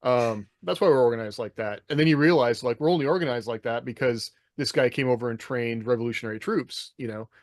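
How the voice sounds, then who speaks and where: A man's voice: medium pitch, fast talker, hardly any pauses, no room sound. Dry-sounding man thinking aloud. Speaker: podcast co-host, military veteran. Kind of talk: casual panel discussion. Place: indoors, video call.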